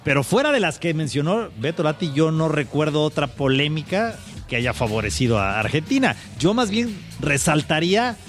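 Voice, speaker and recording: A man's voice: on the high side, sing-song, normal speed, faint music behind. A man with a Mexican accent commenting casually on football as a panelist. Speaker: sports show panelist.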